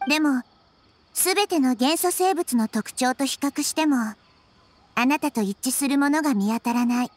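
A woman voicing a wise, girlish nature deity in a game, composed and quietly confident. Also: sits high, moderate pace, close to an everyday voice. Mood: calm, curious. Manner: composed, inquisitive, feminine phrasing. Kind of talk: Japanese game voice acting.

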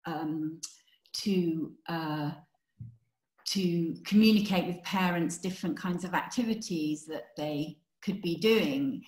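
A woman with a fairly low voice explains her research in an online seminar. She talks thoughtfully, pausing and restarting as she works out her answers. The laptop mic picks up a roomy echo. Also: darkish tone. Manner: thoughtful, many restarts and fillers. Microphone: laptop webcam on video call. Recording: echoey room on video call.

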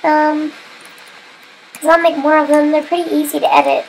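Female gamer, stuffy-nosed and sniffly, high voice with vocal fry, chatty and self-mocking. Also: flat delivery, moderate pace, slight background noise, rough recording. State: stuffy nose, getting sick. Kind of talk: casual gameplay commentary.

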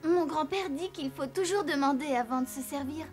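A woman's voice: sits high, normal speed, darkish tone, lightly acted. A woman voicing an innocent, earnest little mountain girl in a French-dubbed cartoon. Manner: wide-eyed, earnest, artless. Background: music.

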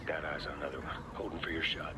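An actor playing a cool, ready special forces soldier in a military shooter game, speaking in clipped, low-key callouts. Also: male voice, little pitch movement, fast talker. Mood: alert, controlled. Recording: loud ambience and vehicle noise.

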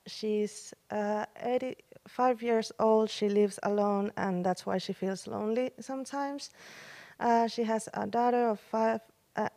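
A woman in her twenties from Finland giving a student presentation into a handheld mic in a large meeting room, halting with fillers. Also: mid-range voice, a little mellow. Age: 20s. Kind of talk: reading a class presentation.

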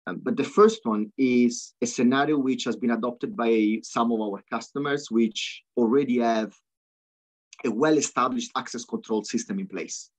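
A man as guest expert presenting software on a webinar, fluent but peppered with ums and uhs. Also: mid-range voice, normal speed. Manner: fluent but full of ums and uhs, non-native phrasing. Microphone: video call. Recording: slightly dull video call audio.

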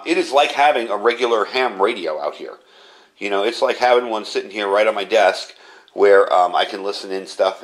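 A man with a fairly low voice walks through a piece of gear as a reviewer. He talks conversationally and with enthusiasm, in a casual hands-on style. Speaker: product reviewer. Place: indoor workshop room at a desk.

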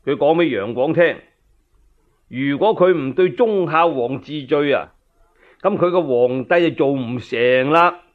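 A man's voice: fairly high pitch, a little slow, phone-quality sound. A man with a Cantonese accent narrating a dramatic tale as a solo radio storyteller.

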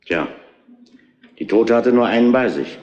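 An actor playing a brisk, suspicious police inspector in a retro crime radio play, with clipped questions. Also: male voice, medium pitch, expressive, darkish tone, highs cut off, a little hiss or hum, natural voice. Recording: echoing old radio play.

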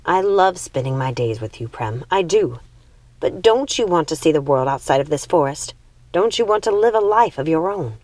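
A woman's voice: deep voice, expressive, normal speed, darkish tone, dry recording. A woman as an earnest, restless young witch. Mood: earnest pleading. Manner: earnest, insistent.

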